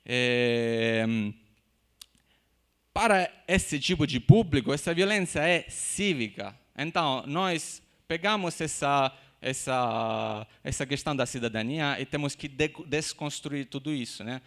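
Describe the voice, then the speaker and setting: Sicilian man giving a halting lecture. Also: medium pitch, expressive, fairly bright tone. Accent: Sicilian Italian. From Sicily, Italy. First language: Italian. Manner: halting, many "né?" tags and restarts. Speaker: university lecturer. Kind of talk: academic lecture. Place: university lecture room.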